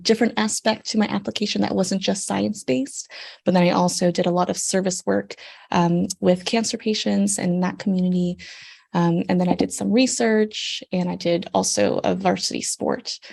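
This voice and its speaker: Young canadian-raised woman giving advice, low voice with lots of vocal fry, lots of ums. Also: a little mellow. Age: young adult. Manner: friendly and explanatory, with frequent ums. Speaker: guest, surgical intern. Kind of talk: interview answers, giving advice.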